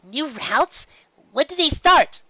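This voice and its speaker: A performer voicing a cheerful, gentle mother pony mare with a bright, exclaiming manner in a story reading. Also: high voice, animated, takes it slowly, dry recording, lo-fi sound, pitched above the performer's own voice, full character voice. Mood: delighted.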